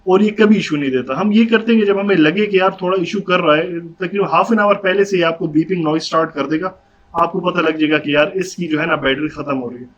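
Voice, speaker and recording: A man's voice: fairly high pitch, fairly quick. A man with a Pakistani accent giving a casual, conversational product review, mixing English words into his speech. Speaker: motorcycle vlogger reviewing gear. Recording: dull audio with background noise.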